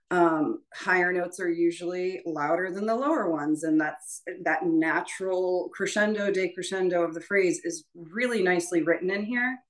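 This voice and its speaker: A woman voice teacher leading an informal prep session, explaining things in a halting, conversational and encouraging way. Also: on the low side. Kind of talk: informal spoken lesson.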